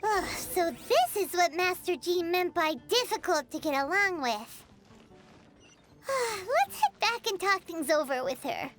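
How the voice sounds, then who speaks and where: A woman voicing a tiny, childlike fairy companion in a video game, squeaky, chirpy and blunt. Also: big pitch swings, unhurried, over music, exaggerated voice. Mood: exasperated. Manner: squeaky, childlike, refers to self in third person. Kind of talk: scripted video game character acting.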